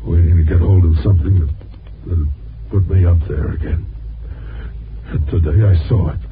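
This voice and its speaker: An actor playing a bitter, broken-down ex-reporter in a 1950s radio drama, halting and brusque. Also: male voice, deep voice, steady tone, lightly acted. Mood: bitter, weary. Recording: noisy, muffled 1950s radio recording.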